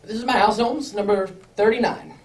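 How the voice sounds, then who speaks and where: A woman's voice: mid-range voice, fairly even intonation, a little fast, lightly acted. A woman playing a decisive aristocratic lord, direct and authoritative, in an amateur radio drama. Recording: noisy, clicks, distant microphone.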